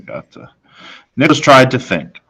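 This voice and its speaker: A man reading a children's novel aloud expressively, with dramatic, deliberate phrasing. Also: mid-range voice, very animated, sharp tone, husky. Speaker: story reader, teacher.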